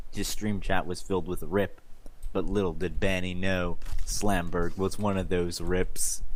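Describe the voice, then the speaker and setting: A man giving a dramatic, mock-serious reading of fan fiction, like a theatrical storyteller. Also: low-pitched. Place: online voice chat during a live stream. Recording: noisy group voice-chat stream.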